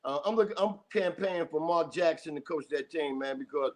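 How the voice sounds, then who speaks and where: A man with a slightly high voice calls in to a talk show. He chats casually and slangily, dropping man and bro often. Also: brisk, a little mellow. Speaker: caller. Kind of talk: casual chat.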